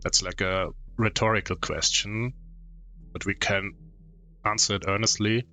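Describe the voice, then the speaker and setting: A man's voice: lowish voice, fairly even intonation, measured pace, pushed and bright, soft background music. A man with a German accent streaming a game, casually commenting and thinking aloud with hums.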